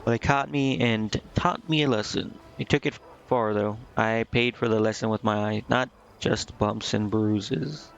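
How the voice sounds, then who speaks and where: A man's voice: lowish voice, fairly even intonation, a little slow, creaky, few pauses, highs cut off. A man reading the lines of a brash, shrewd, one-eyed tiefling merchant from a fantasy game, with occasional stumbles. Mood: matter-of-fact, reminiscing. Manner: plain reading of scripted dialogue with occasional stumbles.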